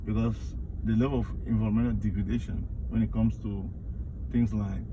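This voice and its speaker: A man's voice: lowish voice, level tone, brisk. A man from Cameroon, answering questions in a casual interview in a car, hesitant and repeating words as he searches for them. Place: inside a car in city traffic. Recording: tinny phone-like sound with loud car noise.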